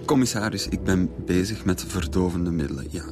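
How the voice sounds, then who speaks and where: A male actor plays a self-assured young Flemish student with a deep voice. He speaks formally and pedantically, defensive and condescending as a suspect in a radio play with music. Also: a little fast, close to an everyday voice. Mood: defensive, smug. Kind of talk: radio drama interrogation dialogue.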